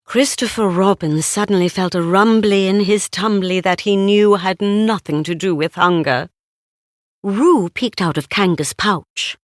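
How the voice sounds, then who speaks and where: A woman reading a children's storybook aloud in an expressive, deliberate way. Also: mid-range voice, dry recording, studio quality. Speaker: storybook narrator. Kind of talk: scripted read-aloud children's story narration.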